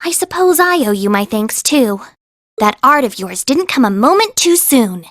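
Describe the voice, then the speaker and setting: A woman's voice: a little high, very animated, normal speed, no echo, studio quality. A woman playing a playful young princess in an anime-style game, coy and refined as she teases. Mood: gracious.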